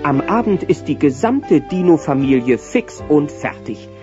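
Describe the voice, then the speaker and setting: A man's voice: on the high side, very animated, unhurried. A man acting as the wry narrator of a German children's audio play, reading a scripted story. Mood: wry, animated. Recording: dull sound with music behind.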